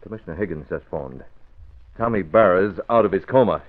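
Deep-voiced, level-headed investigator. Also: male voice, animated, fairly quick. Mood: determined. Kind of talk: 1940s radio acting. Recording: narrow lo-fi old radio recording.

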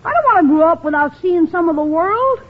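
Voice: very high voice, expressive, fast. An actor playing an eager, wheedling young schoolboy and little brother in an old-time radio comedy. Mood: pleading. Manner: pleading, exclaiming, wheedling. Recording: dull vintage radio recording.

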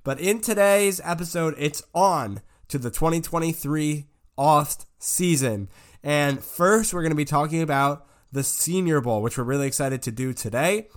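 A man's voice: on the high side, moderate pace. A young man hosting a casual sports podcast, talking with a stuffy nose from a cold, rambling with lots of fillers. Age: young adult. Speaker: podcast host.

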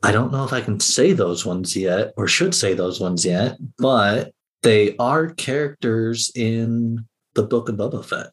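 A man's voice: mid-range voice, a little slow. A man chatting casually as a guest podcaster in an interview.

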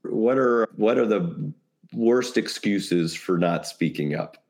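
A man's voice: lowish voice, slow pace, vocal fry, darkish tone. A man talking casually as a podcast guest, brainstorming ideas in a rambling way with jokey asides. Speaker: podcast guest, creative compliance consultant.

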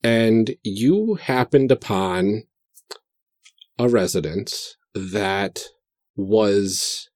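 A man chatting casually while making a tabletop game character, hesitant and pausing between phrases. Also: on the low side, animated, darkish tone, no echo, studio quality. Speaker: podcast host and player.

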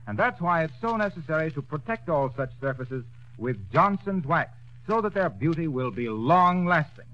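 A male radio announcer reading a sponsor commercial and introducing a 1930s radio comedy with a polished, declamatory sales delivery. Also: fairly high pitch. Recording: dull old radio broadcast recording.

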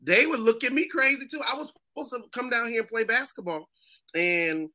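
A man from Massachusetts with an East Coast accent, a guest telling animated stories in casual chat. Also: very high-pitched, moderate pace. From Springfield, Massachusetts. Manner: animated storyteller, mimics other people's voices.

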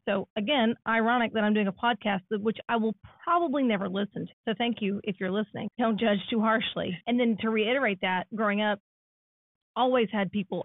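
A woman in her thirties with a naturally raspy voice, co-hosting a casual podcast chat. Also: mid-range voice, a little fast, no echo. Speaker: podcast co-host. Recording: dry, phone-like lo-fi sound.

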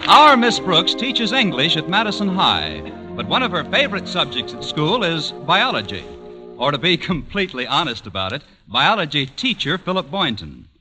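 A man's voice: fairly high pitch, wildly swooping pitch, moderate pace. An American man announcing an old-time radio comedy and its sponsor in polished, playful scripted narration. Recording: old radio broadcast with music.

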